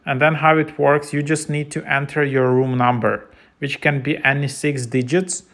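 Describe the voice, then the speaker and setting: Man giving a casual, even-toned app tutorial. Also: medium pitch, normal speed. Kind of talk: casual unscripted tutorial narration.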